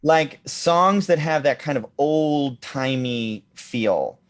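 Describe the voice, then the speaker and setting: A man with a deep voice co-hosting a podcast in casual chat, hesitant and full of ums and likes. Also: animated, takes it slowly. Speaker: podcast co-host.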